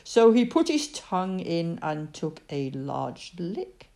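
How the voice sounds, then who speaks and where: A woman reading a storybook aloud, voicing a gentle, slow-witted male teddy bear who muses softly and unhurriedly. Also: fairly low pitch, very animated, gravelly, heavily acted. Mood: pondering. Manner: soft, musing and unhurried.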